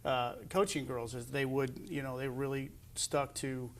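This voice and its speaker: A man's voice: mid-range voice, steady tone, moderate pace, slight background noise. A middle-aged man speaking as an athletic director hosting a local sports interview show. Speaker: host, high school athletic director.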